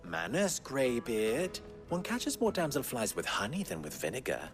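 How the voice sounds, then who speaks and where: A man's voice: medium pitch, huge pitch swings, normal speed, soft background music. An actor playing a cocky young merchant and broker in a video game, with a theatrical, taunting way of drawing out his phrases. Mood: playfully condescending. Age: young adult.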